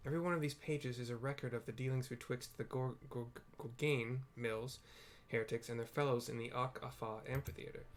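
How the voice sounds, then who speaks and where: A man's voice: mid-range voice, steady tone, fairly quick, hardly any pauses, lightly acted. A man voicing earnest, formal fantasy game characters, reading wordy archaic dialogue with the odd stumble. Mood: intrigued.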